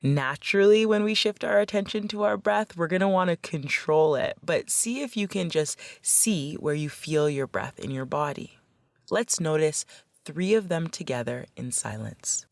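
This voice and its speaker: A woman leading a guided meditation as a facilitator, speaking in a gentle, measured, instructional way. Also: sits low, animated, no room sound, clean studio sound.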